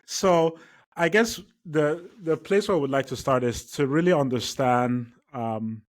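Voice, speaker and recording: A man hosting a podcast, reading a guest introduction and asking questions, upbeat but halting with many fillers. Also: mid-range voice, a little mellow. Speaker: podcast host. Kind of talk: scripted introduction and interview questions.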